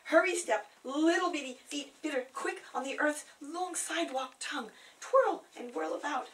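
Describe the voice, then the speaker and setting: A woman's voice: sits high, normal speed, a bit bright. Theatrical woman poet, distant from the mic. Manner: dramatic, playful, savouring words. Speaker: poet reading own work. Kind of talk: theatrical poetry recital.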